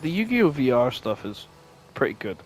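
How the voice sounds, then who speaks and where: A man's voice: mid-range voice, sing-song, normal speed, slight background noise, low-quality recording. A man co-hosting a podcast, chatting casually and informally. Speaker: podcast co-host.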